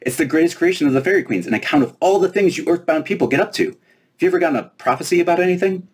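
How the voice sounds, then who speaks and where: A man's voice: fairly high pitch, expressive, very fast, breathy edge, pitched above the performer's own voice. A man reading a children's storybook, voicing a small, proud, teasing fairy princess who is breezy and self-important. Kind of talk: children's storybook read aloud.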